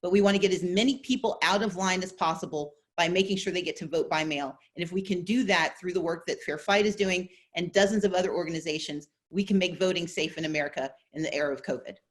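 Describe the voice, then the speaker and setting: A woman on a video-call panel, explaining in fluent, measured sentences. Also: fairly low pitch. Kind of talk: panel discussion answer.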